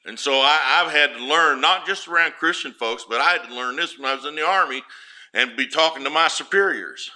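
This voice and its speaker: Loud folksy preacher with a bright voice. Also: male voice, fairly high pitch, a little fast, poor audio. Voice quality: naturally loud voice. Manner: homespun, storytelling, rises loud for emphasis. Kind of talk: folksy sermon.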